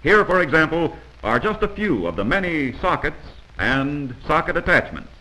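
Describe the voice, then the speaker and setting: A man's voice: on the high side, sing-song, normal speed, pushed and bright, low-quality recording. A man narrating a 1940s instructional film from a script, in an instructive, emphatic manner on a vintage film soundtrack.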